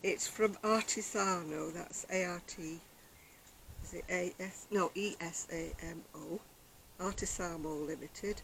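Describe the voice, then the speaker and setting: An older woman vlogging about her crochet, chatting casually on an outdoor deck in a quiet, soft-spoken, rambling way. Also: fairly low pitch, fairly even intonation, measured pace, vocal fry, a little hiss or hum, poor audio. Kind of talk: casual chat to camera. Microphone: external camera microphone.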